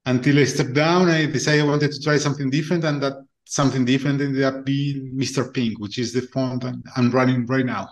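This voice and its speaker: A man in his forties from Argentina, speaking as a guest in a podcast interview. He explains in a measured way, often stopping to restart a phrase. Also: medium pitch, steady tone. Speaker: guest, venture capital fund partner.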